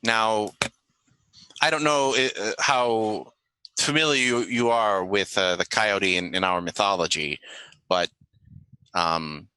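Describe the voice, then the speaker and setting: Man playing a bright-voiced, teasing desert sheriff. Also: on the low side, slow, pauses often, clean tone, voice pushed down, low-quality recording. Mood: pensive. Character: laid-back, teasing male desert sheriff.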